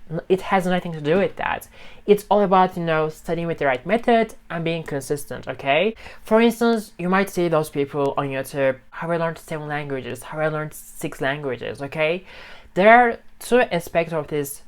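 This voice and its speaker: A woman's voice: low-pitched, normal speed. A woman from Turkey whose first language is Turkish speaks English with a Turkish accent, talking straight to her viewers in an earnest, encouraging way. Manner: earnest, encouraging, repeats "okay?" and "you know". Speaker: English-learning video creator. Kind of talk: casual talk to camera.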